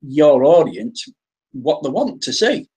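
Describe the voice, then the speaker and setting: A man's voice: fairly high pitch, big pitch swings, slow pace, warm tone, breathy edge. An English man talking casually as the guest on a live-stream interview, often restarting and repeating his words. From England.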